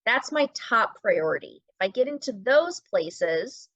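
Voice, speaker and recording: A middle-aged woman with a fairly high voice speaks conversationally as an interview guest. She drives points home with emphasis and rhetorical questions. The sound is very dry and a little muffled. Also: very animated, measured pace, fairly bright tone, dry recording. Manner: emphatic, asks and answers own questions. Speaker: podcast guest, brand-building business owner and teacher.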